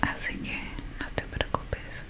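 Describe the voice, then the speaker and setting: A young woman whispers right up at the microphone in Argentine Spanish. Her delivery is very slow and breathy, with a soothing, reassuring manner suited to an ASMR roleplay. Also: bright, forceful tone. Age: young adult. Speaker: ASMR performer playing a technician who erases memories. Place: small dim room indoors. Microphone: close microphone in front of her.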